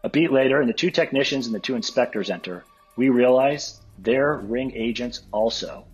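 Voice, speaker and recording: A man narrating stage directions from a script at a table read, with a very husky voice. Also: mid-range voice, expressive, moderate pace. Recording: dull lo-fi audio, music behind.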